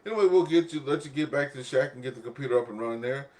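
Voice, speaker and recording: A man's voice: medium pitch, racing, darkish tone. A man hosting an amateur radio net in a casual, rambling, chatty way, often repeating himself. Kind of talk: casual ham radio chat.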